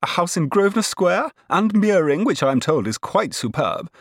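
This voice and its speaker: A man's voice: medium pitch, expressive, moderate pace, husky, dry recording, studio quality, pitched above the performer's own voice. A man voicing a gushing, worldly middle-aged society lady in a period novel, with breathless, emphatic exclamations. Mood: tart, impressed. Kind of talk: dramatised period novel narration.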